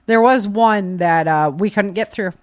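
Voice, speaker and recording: A middle-aged American woman from Michigan chatting informally as a podcast host, telling stories in an animated, slangy way. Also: mid-range voice, unhurried, dry recording, studio quality. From Michigan, United States. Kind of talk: casual conversational podcast chat.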